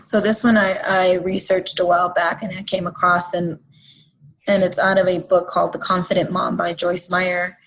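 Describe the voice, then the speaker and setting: A woman's voice: fairly low pitch, level tone, moderate pace, a bit bright, slight background noise, poor audio. A woman guest in a casual interview, earnest and reassuring, often laughing between thoughts. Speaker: guest mother.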